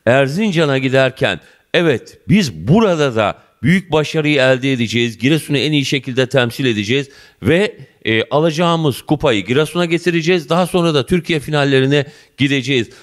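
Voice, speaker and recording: A man in his fifties interviewing a student in a school office, effusive and warm in address, holding a handheld mic. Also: mid-range voice, lively intonation, a little fast. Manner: effusive, addresses viewers and guest as "dear". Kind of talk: local TV interview.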